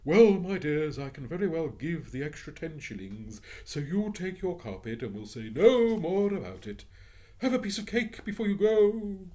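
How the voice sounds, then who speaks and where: A man voicing a fussy woman who turns jolly and kind, shrill and gushing. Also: sing-song, normal speed, warm tone. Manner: shrill when cross, gushing when sweet.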